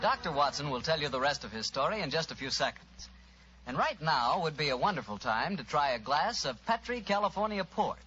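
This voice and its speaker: A muffled vintage broadcast carries an American man announcing and selling. His voice sits fairly high, bright and animated, in polished 1940s radio advertising style. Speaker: radio announcer and sponsor pitchman. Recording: muffled, hissy old-time radio recording.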